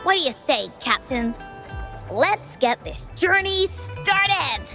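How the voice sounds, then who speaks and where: Bubbly, confident child adventurer for an anime game; high, very animated, unhurried. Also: female voice, over music, heavily acted. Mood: upbeat, confident. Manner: bubbly, childlike, speaks of self in third person. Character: cheerful, confident little girl adventurer, refers to herself by name. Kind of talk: anime-style video game voice acting.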